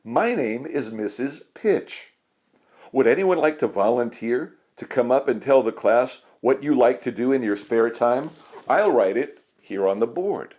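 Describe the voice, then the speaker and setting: A man voicing an orderly woman schoolteacher in a children's storybook, speaking in a measured, instructive way. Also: mid-range voice, animated, a little mellow, close to an everyday voice. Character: orderly woman schoolteacher ball addressing her class.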